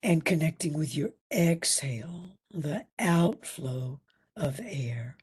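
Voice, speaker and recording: A woman instructor leading a guided meditation, soothing and deliberate, with long pauses and a breathy voice. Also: sits low, animated, a little mellow. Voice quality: breathy, at times near a whisper.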